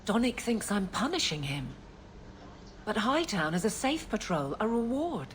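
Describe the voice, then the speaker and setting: An actress playing an earnest, guarded guard captain who talks haltingly about her feelings in a video game. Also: mid-range voice, sing-song, a little hiss or hum. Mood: earnest, awkward. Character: grown woman guard captain, earnest, awkward in love, frustrated. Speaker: game character.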